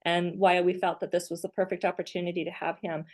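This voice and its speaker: A woman's voice: lowish voice, level tone, fast talker. A woman hosting a faith podcast interview, affirming and conversational. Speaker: podcast host.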